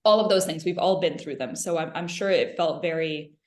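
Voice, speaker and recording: A woman's voice: low-pitched, fairly quick, darkish tone. A woman from Lebanon, a film director answering questions in a conversational interview. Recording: roomy, echoing interview sound.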